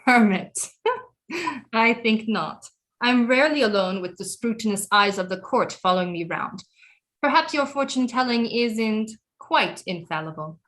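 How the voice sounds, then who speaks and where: A woman's voice: fairly high pitch, lively intonation, a little slow, fairly bright tone. An actress playing a proud, brooding queen mother in an audio play, resentful of her critics, with a regal, formal and dramatic delivery. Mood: imperious, questioning.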